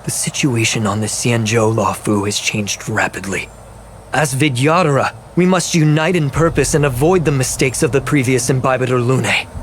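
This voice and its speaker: A man's voice: mid-range voice, steady tone, a little hiss or hum, natural voice. Man playing a proud, scheming old clan elder. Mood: impassioned, grave. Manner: formal, measured, grandiose. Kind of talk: dramatic video game voice acting.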